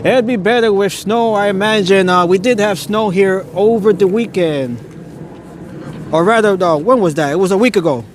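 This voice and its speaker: A man's voice: high-pitched, moderate pace, a little hiss or hum. A man hosting a live stream as he walks a street outdoors, speaking with awe, chuckling often and stammering now and then. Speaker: live-stream host touring holiday lights. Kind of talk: casual live-stream narration. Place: outdoors on a neighborhood street at night. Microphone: phone on a handheld gimbal.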